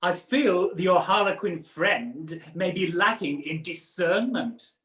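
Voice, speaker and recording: Man playing high-voiced, brash pantomime dame. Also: expressive, slow pace, fairly bright tone, exaggerated voice. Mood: cheeky, bargaining. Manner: theatrical, bantering sales patter. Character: brash, cheeky female potion peddler, pantomime dame. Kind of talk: amateur pantomime acting with comic songs. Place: at home, indoors. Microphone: video call. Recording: roomy home video-call recording.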